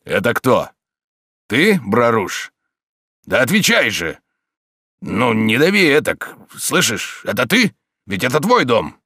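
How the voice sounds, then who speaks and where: Man playing a big rough ex-con thug, loud and coarse, bullying. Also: mid-range voice, very animated, slow pace, pauses often, no echo, clean studio sound, pitched above the performer's own voice, heavily acted. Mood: shouting. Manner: coarse, shouting, menacing. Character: brutish hulking male ex-convict bully, menacing.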